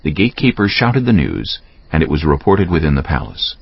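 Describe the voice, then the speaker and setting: A man's voice: rumbling low, sing-song, a little fast, gravelly, slightly rough, no echo, lo-fi sound. A man giving scripted narration of scripture as an audiobook narrator.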